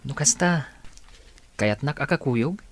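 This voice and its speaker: An actress playing a friendly, agreeable young student with a Filipino accent in a radio drama. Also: female voice, rumbling low, lively intonation, slow pace, exaggerated voice. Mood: surprised, friendly.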